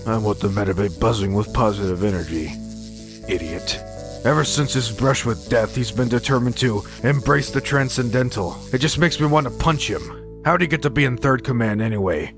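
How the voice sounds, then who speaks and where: A grumpy robot doctor from an audio drama , played by a man. The voice is mid-pitched and full of gravel, with a sardonic bite, over a clear bed of music. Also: normal speed, lightly acted. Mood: grumbling, sarcastic. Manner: dry, sardonic. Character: grumpy sarcastic male robot ship doctor. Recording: music under the voice.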